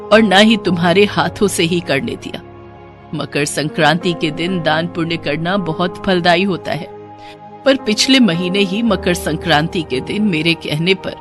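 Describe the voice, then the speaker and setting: Woman as older indian mother-in-law, pious n patient, preachy measured delivery, soft music behind. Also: on the low side, close to an everyday voice. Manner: measured, earnest, moralizing.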